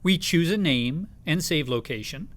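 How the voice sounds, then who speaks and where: A man presenting a software tutorial in a scripted narration style. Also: on the high side, animated, unhurried.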